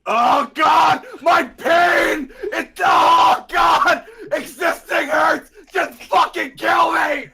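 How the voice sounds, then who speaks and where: A woman's voice: extremely high pitch, steady tone, drawn-out words, vocal fry, hardly any pauses, bright, forceful tone, shouting, a faint crowd. A woman improvising comedic dub banter as a fandub performer, complaining with melodramatic anguish.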